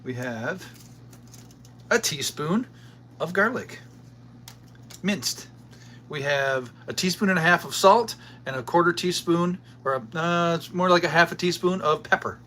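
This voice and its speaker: A man's voice: on the high side, unhurried, long pauses, bright, forceful tone, slight background noise. A man co-hosting a home cooking stream, laid-back with a dry, teasing manner. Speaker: co-host, cook. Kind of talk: casual cooking chat. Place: home kitchen.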